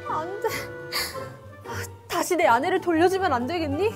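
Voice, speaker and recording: A woman's voice: very high voice, expressive, moderate pace, over music. A woman playing a meek, devoted fisherman husband who pleads, in a children's storybook. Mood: pleading.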